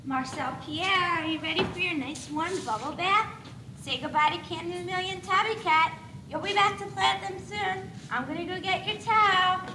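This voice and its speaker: A woman's voice: very high-pitched, moderate pace. A young teenage girl performing her lines in a school stage play. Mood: gentle. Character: caring child mothering a baby doll. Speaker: student actor. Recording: echoey noisy 1980s school TV tape.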